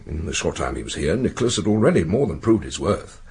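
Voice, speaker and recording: An actor playing a formal, conciliatory male head of an academic board in a radio drama, making solemn, halting speeches. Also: sits low, sing-song, a little mellow, a little hiss or hum. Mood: shocked, solemn.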